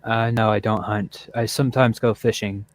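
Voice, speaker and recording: A man's voice: lowish voice, fairly even intonation, moderate pace. A shy young man, the junior producer, answering questions on a live stream with short replies. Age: young adult. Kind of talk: casual live stream chat.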